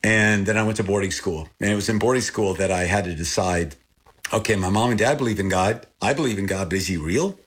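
A man's voice: lowish voice, fairly quick, husky. An older man raised in Thailand with Thai as his first language, talking earnestly as an interview guest and lifting his voice when he recalls things. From born in Texas, raised in Thailand. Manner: earnest, animated when recalling, repeats and restarts. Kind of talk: reflective interview talk.

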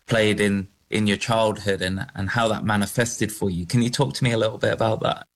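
A male radio documentary presenter who introduces his guest and asks measured, gently probing questions. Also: fairly low pitch, fairly even intonation, dry recording. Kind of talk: broadcast interview.

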